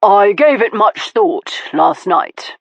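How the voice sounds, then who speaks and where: A woman voicing an earnest, confident young aristocratic man who speaks in a measured, formal way, in an audiobook. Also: medium pitch, lively intonation, breathy edge, dry recording, heavily acted. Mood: sincere. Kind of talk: audiobook dialogue.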